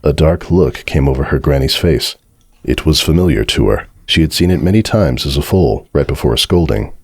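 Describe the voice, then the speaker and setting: Casting: male story narrator, extremely deep voice, dramatic scripted read, close dry sound. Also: expressive, moderate pace, slightly rough, dry recording.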